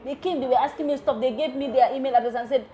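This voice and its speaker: A woman's voice: sits high, fast, dark tone. A woman, an electrical engineer speaking as a guest in a TV interview, earnest and emphatic. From The Gambia. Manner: earnest and emphatic, slipping local words into her English. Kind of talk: live TV interview.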